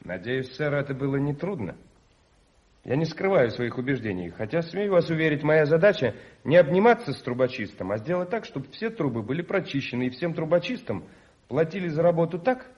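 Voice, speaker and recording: Earnest young socialist, higher-pitched voice. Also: male voice, sing-song, a little fast, darkish tone. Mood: politely defiant. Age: young adult. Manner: polite but defiant. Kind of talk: radio play acting. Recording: muffled 1981 radio recording.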